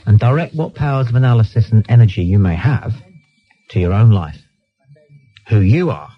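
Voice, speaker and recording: A man's voice: fairly low pitch, lively intonation, moderate pace, breathy edge. A British man hosting a late-night phone-in, arguing in a blunt, dismissive way and insistently repeating himself. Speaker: radio phone-in host. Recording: dull lo-fi radio recording.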